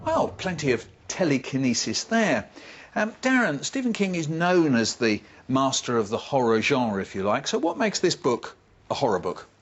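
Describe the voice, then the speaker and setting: Animated male book-show presenter. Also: medium pitch, moderate pace, lo-fi sound. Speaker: programme presenter. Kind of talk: scripted narration and book discussion hosting.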